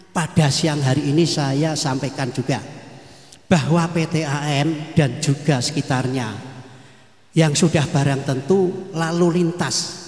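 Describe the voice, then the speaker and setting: A man's voice: medium pitch, a little mellow. Man speaking in an echoey hall. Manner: formal, repeats phrases, slows for emphasis. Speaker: village head giving a speech. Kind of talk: formal ceremonial speech. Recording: echoey hall with long reverb.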